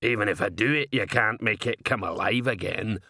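A man's voice: on the low side, moderate pace, vocal fry, sharp tone, dry recording, clean studio sound, heavily acted. A man voicing a cowed, shifty troll craftsman in a storybook, muttering grudgingly in broken grammar.

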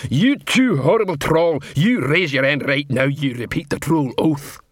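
A man voicing a big, slow-witted troll sergeant in an audiobook, blustering and proud, barking orders in broken grammar. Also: on the high side, lively intonation, dry recording, studio quality.

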